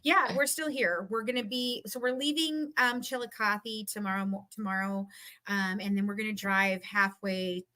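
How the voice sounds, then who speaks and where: A woman guest chatting casually and telling stories in an animated, talkative way. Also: medium pitch, normal speed. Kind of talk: casual chat.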